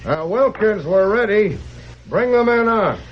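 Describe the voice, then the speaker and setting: A man's voice: high-pitched, sing-song, slight background noise. Patient policeman, muffled old radio. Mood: brisk, commanding. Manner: measured, official, reassuring. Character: patient, businesslike police lieutenant. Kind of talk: mid-century American radio comedy acting.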